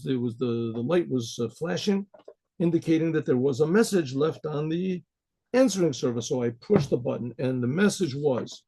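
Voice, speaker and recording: An American man tells a personal story in a gravelly, husky voice with a mellow, dark tone. He talks in a halting way, with fillers and restarts. He clears his throat often. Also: on the high side. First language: English. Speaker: rabbi telling a personal story. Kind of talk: casual spoken storytelling.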